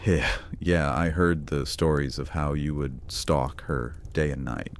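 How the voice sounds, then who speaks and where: A man's voice: rumbling low, measured pace. A man playing a cocky, taunting immortal vampire gladiator in a dramatic audiobook roleplay, with a sneering drawl. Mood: sneering contempt.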